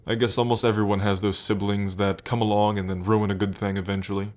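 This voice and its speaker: A man's voice: lowish voice, flat delivery, fast, a little mellow, natural voice. A man playing a smug, teasing demon prince of pride in an ASMR roleplay, drawling and playfully taunting the listener. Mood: wry, nostalgic.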